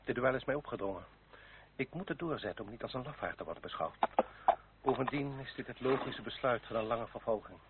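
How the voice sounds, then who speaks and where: An actor in an old radio play playing a proud, courtly French marquis and duellist who speaks formally, with elaborate politeness and cool menace. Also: male voice, mid-range voice, expressive, a little fast, heavy background noise, lightly acted. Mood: formal, guarded. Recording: dull lo-fi old radio recording.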